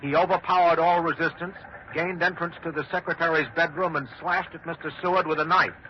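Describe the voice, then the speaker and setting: An old, dull radio recording with faint noise. An actor plays an earnest male newsman with a slightly raised pitch. He delivers grave, emphatic bulletins in 1940s radio drama style. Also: steady tone, a little fast, close to an everyday voice. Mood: flustered, grave. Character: earnest male studio newsman handling breaking news. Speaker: radio news anchor.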